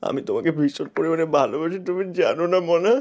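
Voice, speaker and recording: Plaintive, fast talker with swooping pitch. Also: lively intonation. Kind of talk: pleading, argumentative casual talk. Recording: music behind the voice. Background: music.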